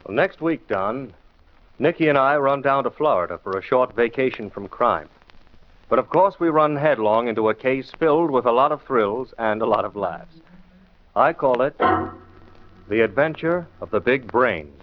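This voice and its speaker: A man's voice: medium pitch, lively intonation, normal speed, lightly acted. A man acting a shrewd, scornful radio detective who lectures emphatically in rhetorical questions, in 1940s style. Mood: genial, formal. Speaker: detective lead and show host. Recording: muffled 1940s radio recording.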